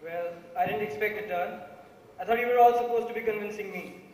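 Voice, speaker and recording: A calm, reasoning dissenter on a jury played by a young man, quick and fairly high, with an Indian accent. The stage drama rings with heavy hall echo. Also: natural voice. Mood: calm, questioning. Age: young adult. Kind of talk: amateur stage play acting. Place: large auditorium stage. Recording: very echoey auditorium.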